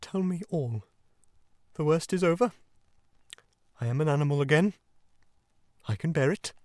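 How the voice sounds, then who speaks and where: High, swooping, gushing toad gentleman. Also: huge pitch swings, normal speed, no echo, studio quality. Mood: tearfully resigned. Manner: gushing, plummy, melodramatic. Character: boastful, excitable, theatrical male toad gentleman. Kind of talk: audiobook character acting.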